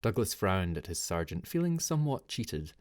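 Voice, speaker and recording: A man's voice: low voice, very animated, normal speed, darkish tone. A man reading scripted fiction as an audiobook narrator, telling the story in a dramatic style.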